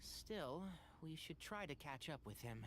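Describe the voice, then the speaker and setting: A man voicing a gentle, sensible young forest ranger in a video game, polite, earnest and calmly authoritative. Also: a little high, measured pace. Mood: composed. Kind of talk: video game character acting. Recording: noisy game stream capture.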